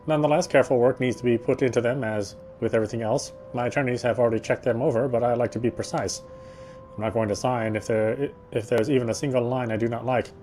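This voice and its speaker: A man reading first-person story narration aloud in a theatrical, fussy gentleman's manner. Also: mid-range voice. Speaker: game player reading aloud.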